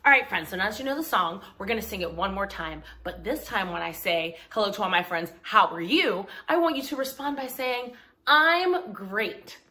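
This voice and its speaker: A woman hosting a story time for young children, speaking directly to them in simple, clear phrases as she talks and reads aloud. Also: medium pitch, lively intonation, normal speed, pushed and bright. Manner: addresses listeners directly, simple explanations with check-in questions.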